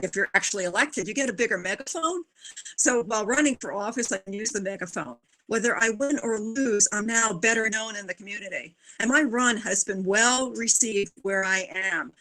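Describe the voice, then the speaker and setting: Bright-voiced woman candidate on a call. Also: medium pitch, rough recording. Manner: earnest, conversational, with frequent fillers. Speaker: political candidate. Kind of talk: live roundtable discussion. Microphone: video call.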